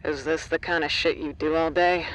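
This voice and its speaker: Female; actress as terse, deadpan facility colleague, blunt with an undercurrent of worry, audio drama. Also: deep voice, normal speed, natural voice, rough recording. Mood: dry, blunt.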